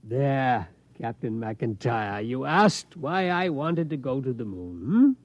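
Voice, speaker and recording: Driven old tycoon, muffled vintage radio. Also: male voice, medium pitch, lively intonation, slow pace. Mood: wistful, defiant. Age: older. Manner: reminiscing, insistent, tags sentences with "hmm?". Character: obsessed, determined old tycoon longing for the moon. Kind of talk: 1950s radio acting. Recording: dull 1950s radio recording.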